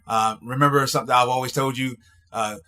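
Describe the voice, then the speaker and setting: A man speaking as a coach in a casual phone chat, advising in a halting way with repeated words. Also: medium pitch, steady tone, sharp tone. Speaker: coach, interviewer. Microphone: phone call.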